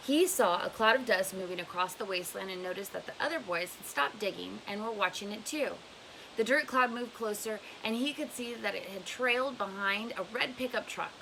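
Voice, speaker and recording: A woman's voice: medium pitch, vocal fry, bright, forceful tone, rough recording. A woman narrating a book aloud in a storytelling style. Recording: faint hiss behind voice.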